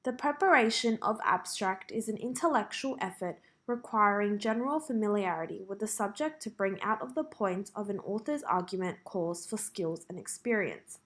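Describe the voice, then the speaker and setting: A woman with an Australian accent reads scripted practice passages aloud in a measured, careful way. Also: medium pitch, expressive, a bit bright. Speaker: reader of English test practice passages.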